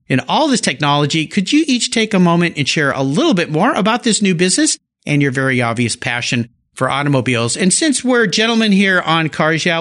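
High, animated male podcast host. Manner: enthusiastic, playful car puns. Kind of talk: upbeat interview hosting.

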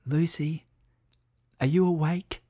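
A man voicing a restless young boy in a children's storybook, talking in a hushed whisper. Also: fairly high pitch, steady tone, takes it slowly, pitched above the performer's own voice. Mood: hushed, longing.

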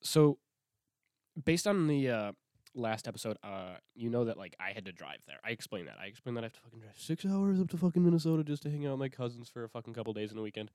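A man's voice: medium pitch, big pitch swings, fast, warm tone, no room sound, clean studio sound. A young man hosting his own podcast, talking alone in a rambling, swear-heavy way with lots of restarts, sniffling from a cold. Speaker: podcast host. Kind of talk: casual solo podcast monologue.

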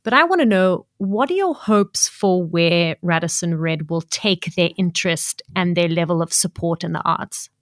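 A woman's voice: fairly low pitch, normal speed, hardly any pauses, dry recording, clean studio sound. A woman hosting a podcast, upbeat and effusive as she puts questions to her guest. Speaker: podcast host. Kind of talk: podcast interview.

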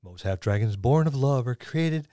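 A man's voice: mid-range voice, expressive, moderate pace, warm tone, no echo, studio quality. A man co-hosting a podcast, chatting casually about tabletop roleplaying with wry quips. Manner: wry, quipping. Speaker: podcast co-host.

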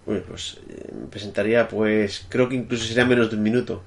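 A man's voice: fairly low pitch, fast talker, creaky. A man from Spain with a Madrid accent, a technical architect answering interview questions over a remote call, thinking aloud as he goes. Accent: Madrid Spanish. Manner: thinking aloud, many fillers and restarts.

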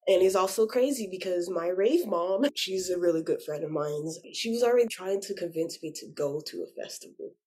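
A woman's voice: on the low side, a little fast, hardly any pauses, dark tone, husky. A woman in her twenties chatting as an interview guest, telling personal stories in an informal, slangy way. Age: 20s. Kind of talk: casual chat, storytelling.

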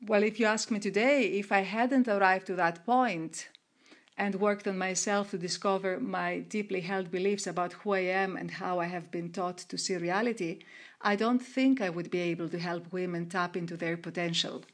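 A middle-aged woman from Greece, answering interview questions in a measured way with frequent restarts and fillers. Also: on the low side, steady tone. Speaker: guest, researcher and coach of women entrepreneurs.

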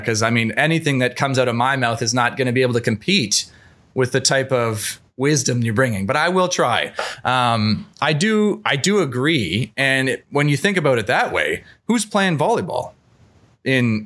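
A man's voice: medium pitch, a bit bright. A man hosting a casual podcast discussion, talking in a rambling, wry, self-deprecating way. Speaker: podcast host.

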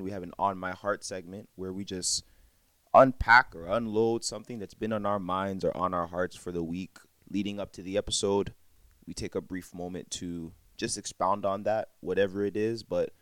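Brief: very low-voiced man, laid-back podcast host, dry close sound. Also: dry recording. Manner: relaxed, explains segments plainly. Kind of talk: casual chat. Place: at his home.

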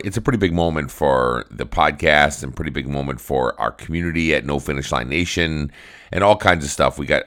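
Middle-aged male podcast host, extremely low deep voice, loose upbeat rambling delivery. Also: a bit bright. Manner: rambling and upbeat, with frequent restarts. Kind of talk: casual solo podcast monologue.

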